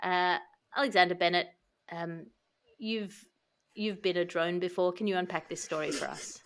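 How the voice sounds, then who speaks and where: A woman's voice: lowish voice, steady tone, moderate pace, a bit bright, slight background noise. Tongue-in-cheek woman hosting a satirical podcast. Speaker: podcast host.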